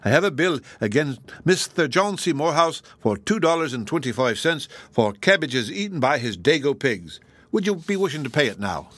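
A man voicing a stubborn, sly express agent with a folksy brogue and drawn-out grumbling. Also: a little high, very animated, fairly bright tone, no room sound, pitched above the performer's own voice. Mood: cold.

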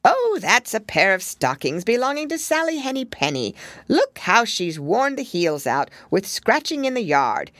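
A woman's voice: fairly high pitch, very animated, moderate pace, fairly bright tone, no room sound, pitched above the performer's own voice. A woman voicing a stout, anxious hedgehog washerwoman who talks humbly and keeps repeating polite phrases. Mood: fussy, polite.

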